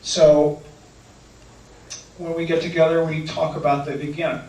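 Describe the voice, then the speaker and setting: A man's voice: on the high side, flat delivery, measured pace, a little mellow, far from the mic. A man who leads canoe and kayak trips, answering audience questions in an earnest, hesitant way and restating each question before he answers. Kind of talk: talk with audience Q&A. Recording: echoey room, speaker away from mic, faint noise.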